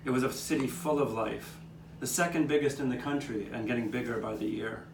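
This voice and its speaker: Guy playing an old tomcat looking back on nine lives, puppet show story, measured, echoey and noisy outdoor taping. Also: mid-range voice, close to an everyday voice. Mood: reflective. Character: old male street cat, reminiscing storyteller with nine lives. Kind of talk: scripted puppet show narration. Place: outdoor courtyard. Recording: distant, echoey live taping with background noise.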